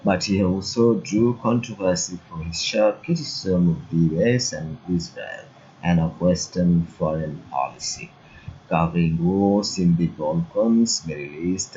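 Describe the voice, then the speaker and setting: A man narrating a scripted news report in a steady read-aloud delivery. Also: low-pitched, unhurried. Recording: dull lo-fi audio.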